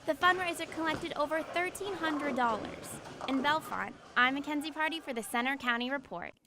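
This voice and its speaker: A young American woman narrates a news report from a script. Her voice sits a little high, and there is clear noise on the recording. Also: fairly quick. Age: young adult.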